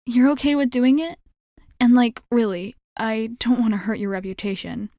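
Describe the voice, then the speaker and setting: Woman acting rambling, nervous sporty schoolgirl, higher voice. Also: steady tone, mellow, no echo, clean studio sound, lightly acted. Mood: eager bargaining. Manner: rambling, halting, backtracking mid-thought. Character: nervous, blunt, sporty schoolgirl baseball captain, pleading. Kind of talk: ASMR roleplay acting, addressed to the listener.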